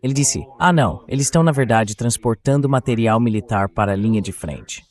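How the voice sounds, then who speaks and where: A man's voice: medium pitch, a little fast. A man speaking as an interviewer in a translated voice-over.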